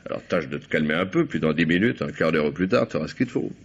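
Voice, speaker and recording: Cynical drug-dealing schemer, very low pitch, domineering slang, muffled vintage radio crime drama. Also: male voice, lively intonation, fast, husky, full character voice. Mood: falsely soothing. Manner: slangy, domineering, falsely soothing. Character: cynical, bullying male drug dealer, smooth-talking schemer. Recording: dull, lo-fi old radio recording.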